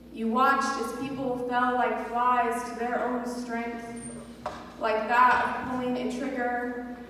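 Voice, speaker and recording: A young woman performs slam poetry in a high, slow voice. Her delivery is emotional and deliberate, with dramatic pauses, heard from a distance in a reverberant hall. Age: young adult. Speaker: slam poet. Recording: echoey hall from a distance, faint noise.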